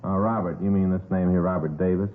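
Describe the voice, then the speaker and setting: An actor playing a brisk, polite, matter-of-fact police detective in a vintage radio drama. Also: male voice, sits low, steady tone, lightly acted. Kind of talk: understated 1950s radio acting. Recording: tinny, noisy vintage radio recording.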